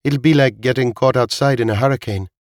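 A skeptical, taunting woman in an audiobook, performed by a man. The pitch is raised well above a male register. The delivery is challenging and sarcastic, with no room echo. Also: fast, studio quality, lightly acted. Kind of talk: audiobook character dialogue.

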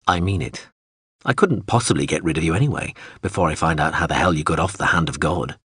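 A man's voice: rumbling low, fast, fairly bright tone, breathy edge, dry recording, clean studio sound, voice pushed down, exaggerated voice. A man voicing a hard-bitten mercenary commander who speaks bluntly and profanely in an audiobook. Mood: dry. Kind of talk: audiobook character voice.

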